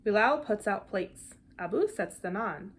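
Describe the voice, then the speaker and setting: A woman reads a children's picture book aloud with an animated, deliberate storytelling delivery. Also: mid-range voice, slight background noise. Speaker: storybook reader.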